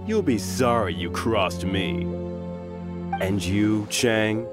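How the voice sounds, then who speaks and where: Slow-talking, sneering hired thug. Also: male voice, on the low side, loud music behind the voice. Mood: threatening. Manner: mocking, threatening. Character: sneering, menacing hired thug working for gentry. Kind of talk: English video game voice acting.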